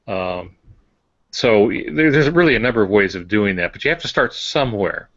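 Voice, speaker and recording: Dull-sounding, low-voiced man, halting and explanatory. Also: lively intonation, fairly bright tone, dry recording. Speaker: fiction writer, podcast panelist. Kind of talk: casual talk on writing craft.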